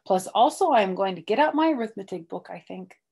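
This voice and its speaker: A woman's voice: medium pitch, expressive, fairly quick, a little mellow, husky, voice pushed up. A woman voicing a spunky, sassy young schoolgirl, chirpy and bouncy. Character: cheeky spunky kindergarten girl narrator.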